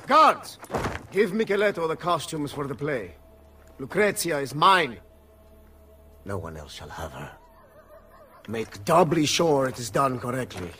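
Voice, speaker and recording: A man playing a ruthless, possessive tyrant lord in a video game, menacing and mocking, moving between hiss and shout. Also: mid-range voice, sing-song, a little slow, long pauses. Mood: gloating command. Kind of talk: dramatic video game voice acting.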